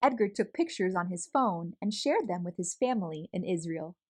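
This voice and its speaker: A woman reading a children's picture book aloud in an expressive, animated storytelling manner. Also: lowish voice, moderate pace.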